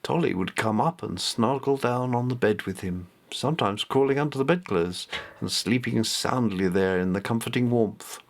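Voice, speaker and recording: A man's voice: mid-range voice, normal speed, hardly any pauses. A man narrating his own written story, reading it aloud in a careful, amateur storyteller's manner. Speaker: author reading own novelette.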